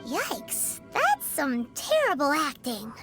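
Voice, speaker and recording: A super high squeaky little fairy sidekick, snarky and bouncy, video game with music under it. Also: female voice, wildly swooping pitch, slow, exaggerated voice. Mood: sardonic. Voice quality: squeaky, childlike. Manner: sarcastic, cheeky. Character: tiny sassy fairy-like companion, squeaky and childlike.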